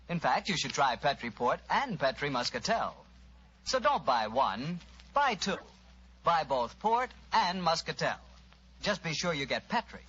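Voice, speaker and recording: A man with an American accent delivering a scripted 1940s radio pitch as the show's announcer. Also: a bit bright. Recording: muffled, hissy old-time radio recording.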